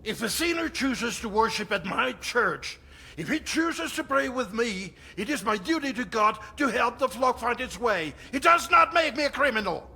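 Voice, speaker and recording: High-voiced, creaky, impassioned priest. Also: male voice, animated, moderate pace, pushed and bright, heavily acted. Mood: indignant. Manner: solemn, impassioned. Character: troubled, defensive older male priest in a crime drama.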